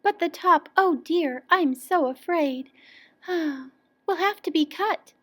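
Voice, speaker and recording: A woman's voice: very high voice, slow, voice pushed up. A woman voicing a dainty, fretful housemaid in a children's storybook, with a sighing, fluttery manner. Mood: worried, sighing. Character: fretful, dainty upstairs maid.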